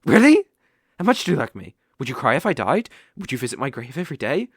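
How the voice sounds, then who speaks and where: A man's voice: medium pitch, sing-song, breathy edge, no echo, studio quality. A British man playing a sly, possessive, lovestruck fox-spirit mage in an ASMR audio roleplay, coaxing and easily flustered. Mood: eager, needy. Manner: coaxing, slow, with eager flustered outbursts.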